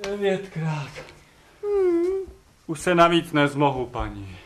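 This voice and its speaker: Clever, impudent slave for stage comedy, played by a man; higher male voice, pleading servility, distant noisy recording. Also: very animated, fairly bright tone, echoey room. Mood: weary bargaining. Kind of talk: live comic stage acting. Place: theatre stage, recorded from far off.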